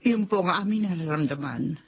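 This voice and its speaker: An actress playing a widowed school principal who speaks politely and calmly to her lover's father. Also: low voice, a little fast, husky, highs cut off, soft background music, exaggerated voice. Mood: earnest. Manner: polite, calm, respectful. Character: widowed woman principal, humble, devoted lover. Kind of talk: radio drama acting.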